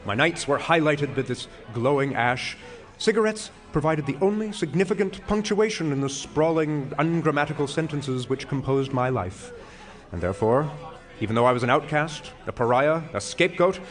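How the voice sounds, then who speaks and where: An actor playing a pompous, self-dramatizing retired philosophy professor in a stage comedy, speaking in wordy, self-mocking speeches. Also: male voice, mid-range voice, animated, moderate pace, a bit bright, soft background music, natural voice. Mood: grandiose, self-mocking. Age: older. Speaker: actor in an audio play.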